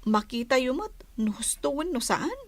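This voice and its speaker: A woman's voice: high voice, sing-song, normal speed, dry recording, full character voice. A woman playing a plaintive young bride unhappy with an arranged marriage, with an Ilocano accent, in a comedy drama. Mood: pained, resentful. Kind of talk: Filipino comedy-drama dialogue.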